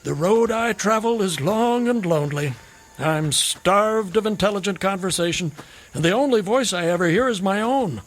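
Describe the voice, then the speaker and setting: An actor playing a garrulous, whimsical, hard-drinking drifter in a radio drama, with wry, theatrical, wordy phrasing. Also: male voice, high voice, animated, normal speed. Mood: florid, musing.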